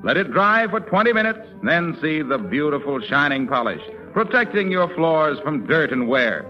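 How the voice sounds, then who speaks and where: A man's voice: fairly high pitch, big pitch swings, moderate pace, soft background music. A man with an American accent announcing in scripted 1930s radio style. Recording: muffled 1930s radio broadcast.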